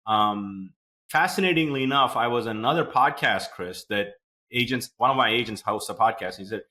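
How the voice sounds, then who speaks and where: A man's voice: mid-range voice, bright, forceful tone. A man from India hosting a podcast, chatty and rambling with many fillers as he introduces and questions his guest. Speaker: podcast host.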